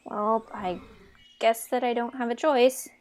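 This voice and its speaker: A woman's voice: on the high side, fairly even intonation, unhurried, slight background noise, natural voice. A woman playing a reluctant, wry young fairytale heroine in an amateur audio drama. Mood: wry, resigned.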